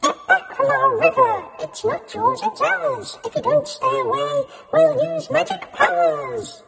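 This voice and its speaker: A man voicing a group of tiny, malicious, bossy gnomes in a squeaky singsong chant for a storybook. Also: a little slow, over loud music. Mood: bossy warning.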